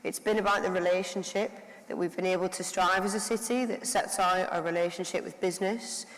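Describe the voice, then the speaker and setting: A woman giving a prepared conference speech and introducing a keynote speaker, in a measured, formal delivery. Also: on the low side. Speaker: council leader introducing the keynote. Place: large conference hall. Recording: long hall reverb.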